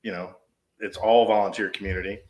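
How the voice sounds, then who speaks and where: A man's voice: on the low side, flat delivery, brisk, rough recording. A man in his forties, a dispatch supervisor, telling stories in a casual, rambling interview style. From US East Coast. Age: 40s. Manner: rambling with frequent restarts. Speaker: podcast guest, dispatch shift supervisor.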